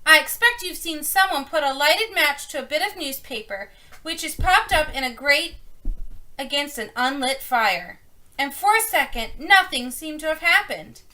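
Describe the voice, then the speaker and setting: Lady reading a kids book out loud, high bright voice, expressive storyteller style. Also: normal speed, low-quality recording. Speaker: reader of a children's book. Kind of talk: read-aloud storytelling.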